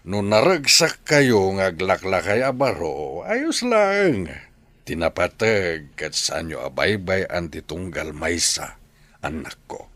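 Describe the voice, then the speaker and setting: An elderly Ilocano father in a radio drama, devout and earnest. His voice is very low and husky, and he speaks slowly with expressive swings, wishing his sons well. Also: dry recording, full character voice. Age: older.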